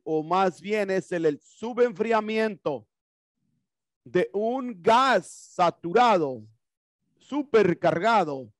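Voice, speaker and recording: High-voiced man teaching an HVAC class. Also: no room sound, clean studio sound. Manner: explanatory, asks and answers his own questions. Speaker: HVAC instructor. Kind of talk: classroom lecture. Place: classroom with whiteboard and HVAC equipment. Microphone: headset mic.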